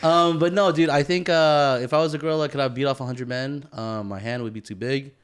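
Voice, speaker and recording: A man's voice: mid-range voice, fairly even intonation, fairly quick, noisy background. A young man from co-hosting a podcast, chatting in crude, swearing banter and laughing often. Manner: laughs a lot, swears freely. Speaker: podcast co-host.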